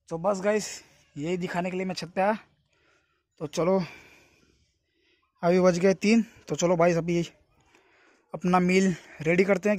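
A man's voice: high-pitched, a little mellow. A young adult man chatting casually and informally to his viewers, as a vlogger talking into a phone. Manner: informal, addresses viewers as friends. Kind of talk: casual vlog chat to camera. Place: in and around a home, indoors and outdoors. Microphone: phone at arm's length. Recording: noisy handheld phone recording.

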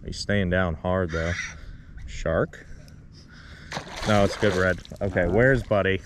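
A young man hosting a fishing vlog, chatting casually and playfully with excited exclamations while wading outdoors. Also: sits low, slow pace, background noise. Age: young adult. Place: outdoors wading in open shallow water. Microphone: action camera, handheld or body-worn.

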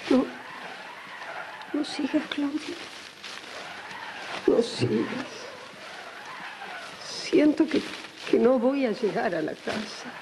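Frail dying lover for radio soap, Argentine, high breathy voice, noisy old recording. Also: female voice, expressive. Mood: fading. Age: older. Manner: faint, halting, melodramatic. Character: frail, dying woman, devoted and loving. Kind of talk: classic radio soap opera acting. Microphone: large vintage studio microphone. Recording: noisy archive studio recording.

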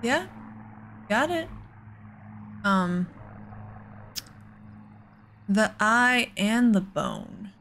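A woman's voice: mid-range voice, sing-song, very slow, gravelly, pauses often, a bit bright, a little hiss or hum. A woman hosting a casual let's play, with an exasperated, sarcastic way of talking. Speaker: gaming host.

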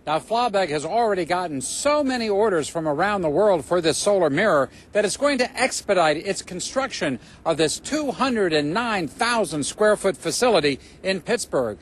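A middle-aged man reporting live as a TV news reporter, in a measured newscaster delivery. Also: sits high, expressive.

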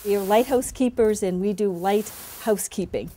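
A woman's voice: medium pitch, moderate pace, mellow. A middle-aged woman with a Boston accent, a lighthouse keeper speaking in a heartfelt, plain-spoken way in a reflective documentary interview.

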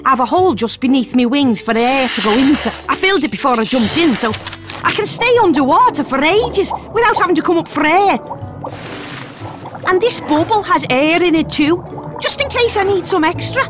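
A woman's voice: sits high, moderate pace, over music. A woman voicing an eager, boastful young water beetle who chats to the viewer in a children's cartoon. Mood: eager, proud. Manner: excitable, chatty, addressing the viewer. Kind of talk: children's cartoon character narration.

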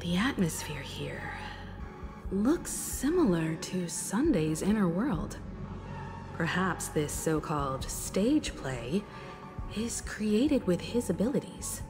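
An actress voicing a calm young female companion in a video game, explaining things thoughtfully. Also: fairly low pitch, slow pace, heavily acted. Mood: musing. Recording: game audio with reverb and music.

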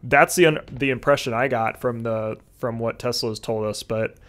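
A young man in his twenties chatting casually on a podcast video call, relaxed, with lots of fillers. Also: mid-range voice, normal speed. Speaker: podcast guest. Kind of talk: casual chat. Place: home room, indoors. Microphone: headset with video call.

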